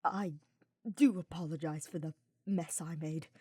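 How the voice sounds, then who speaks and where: A woman voicing a brooding, reserved male dark mage in a fantasy audiobook, speaking formally and grudgingly. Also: sits low, fairly even intonation, a little slow, slightly rough, dry recording, clean studio sound.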